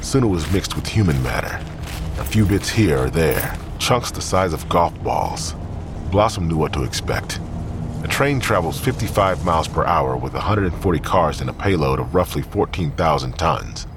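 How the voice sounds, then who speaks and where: A man narrating a crime drama in a grave, measured tone. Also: very deep voice, animated, background noise. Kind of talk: scripted narration.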